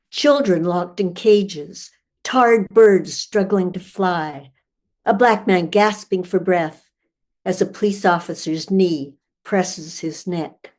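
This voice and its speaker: An older woman reading her own poem in a literary style, with measured, deliberate phrasing. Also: lowish voice, darkish tone.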